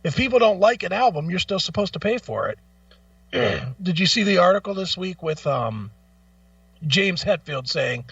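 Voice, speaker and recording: High-pitched, blunt, ranting man. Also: lively intonation, normal speed, creaky, a bit bright, dry recording, distant mic, lo-fi sound. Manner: blunt, profane, argumentative, emphatic; repeats phrases for emphasis. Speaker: talk show host. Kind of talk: opinionated music talk, ranting.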